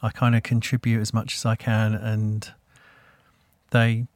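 A man hosting a podcast, talking in a relaxed, rambling way with many fillers. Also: lowish voice, a little slow, no echo. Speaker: podcast host. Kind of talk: casual interview chat.

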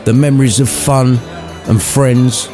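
A man's voice: medium pitch, steady tone, measured pace, music in the background. A man narrating a scripted story in an irreverent way, with cheeky, sweary asides to the listener.

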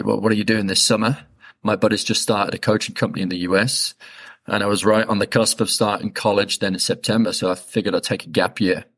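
A man, a podcast guest casually telling stories about his upbringing in a relaxed, chatty, self-deprecating way. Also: sits low. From England. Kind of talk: casual conversational storytelling.

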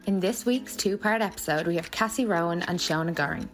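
A woman reading a scripted episode introduction in an even, read-aloud way. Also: lowish voice, brisk. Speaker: podcast intro voice. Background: music.

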